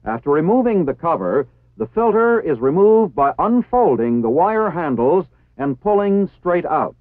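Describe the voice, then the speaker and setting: A man with an American accent reading formal, scripted instructional narration in a measured, precisely enunciated way. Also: a little high, big pitch swings, no echo. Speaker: training film narrator. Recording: tinny phone-like 1960s film soundtrack.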